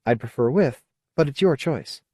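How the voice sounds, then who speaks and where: Man voicing a frank, persuasive woman, animated pitch. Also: moderate pace, slightly rough, dry recording, studio quality. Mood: firm, forgiving. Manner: earnest, coaxing, plain-spoken. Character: frank, persuasive woman colonist, old friend. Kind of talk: audiobook character dialogue.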